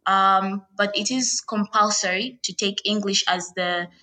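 A woman's voice: mid-range voice, a little slow, sharp tone. A young woman from Tanzania with a Tanzanian accent, answering interview questions casually with many "uh" and "like" fillers. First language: Swahili. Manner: hesitant, lots of "uh" and "like" fillers. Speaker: guest.

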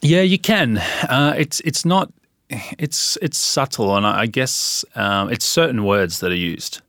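A man from Australia with an accent between Australian and British, talking in a relaxed, rambling way as a podcast guest. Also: mid-range voice, a little slow, dry recording, clean studio sound. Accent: Australian with British influence. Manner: relaxed, rambling with fillers and restarts. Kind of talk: casual interview.

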